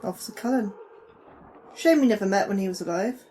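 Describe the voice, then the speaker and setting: A woman's voice: medium pitch, brisk, warm tone, a little hiss or hum, natural voice. A woman playing a frustrated, anxious young-ranking science officer in a sci-fi audio drama, grumbling and sarcastic. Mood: tired, curious. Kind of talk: audio fiction drama acting.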